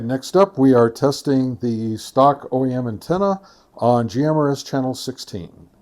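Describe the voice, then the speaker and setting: Mellow, halting man reviewing gear. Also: mid-range voice. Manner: halting, methodical, reads figures out deliberately. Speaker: video host, radio reviewer. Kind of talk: casual product test narration.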